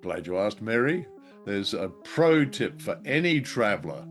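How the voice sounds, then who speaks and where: A man's voice: fairly low pitch, sing-song, unhurried, a bit bright, soft background music. A man co-hosting a radio show and podcast, chatting in a casual, conversational style.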